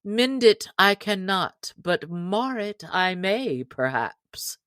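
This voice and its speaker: Storybook outlaw chief, male, bold and jovial, measured archaic delivery, dry close sound; played by a woman reader. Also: medium pitch, big pitch swings, a bit bright, dry recording. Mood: good-humored, challenging. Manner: hearty, archaic speech. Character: jolly bold young male outlaw leader. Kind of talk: storybook character reading.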